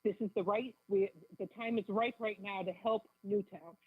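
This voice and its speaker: Raspy woman with a cold on phone. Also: mid-range voice, fairly even intonation, moderate pace, dry recording. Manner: emphatic, indignant, urgent. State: has a bad cold. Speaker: phone-in guest. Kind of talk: live radio call-in advocacy. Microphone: telephone. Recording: tinny, narrow phone line.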